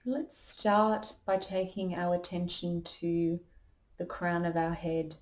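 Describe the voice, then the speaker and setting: A woman leading a guided meditation as a yoga teacher, calm and unhurried, giving gentle instructions. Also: lowish voice.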